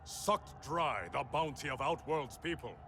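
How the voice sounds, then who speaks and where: Stern warrior king with wide-swinging pitch. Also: expressive, measured pace, noisy background. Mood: righteous anger. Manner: formal, declamatory. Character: stern, proud warrior ruler dealing out justice. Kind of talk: dramatic video game cutscene acting.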